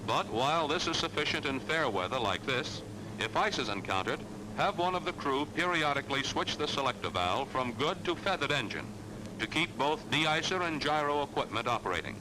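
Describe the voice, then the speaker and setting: A man's voice: a little high, sing-song, fairly quick. An American man reading scripted 1940s military training film narration in an assured, instructional way with clipped phrasing. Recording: noisy 1940s film soundtrack.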